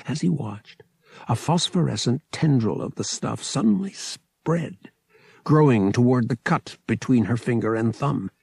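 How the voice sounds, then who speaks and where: A man's voice: mid-range voice, a little slow, creaky, a little mellow, breathy edge, dry recording, studio quality. A man reading a story aloud as an audiobook narrator, in a dramatic scripted style.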